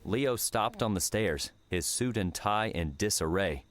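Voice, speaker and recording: Deep-voiced male audiobook narrator. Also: lively intonation, measured pace, very noisy. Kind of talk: scripted dramatic story narration.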